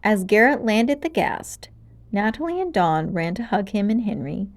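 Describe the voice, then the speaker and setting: Expressive woman narrator, medium pitch. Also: moderate pace. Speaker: storybook narrator. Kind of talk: expressive read-aloud narration for children.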